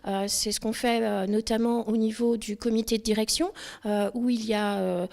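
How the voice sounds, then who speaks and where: Woman, lots of vocal fry, hesitant stop-start explaining in an interview. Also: fairly high pitch, steady tone, a little mellow. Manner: halting, piecemeal delivery with many hesitations. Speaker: tourist office representative.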